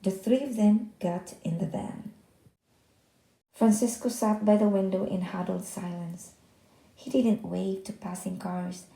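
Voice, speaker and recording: A woman's voice: medium pitch, vocal fry, mellow. A woman narrating a children's story as a read-aloud, recorded in a roomy indoor space with echo. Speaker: story reader.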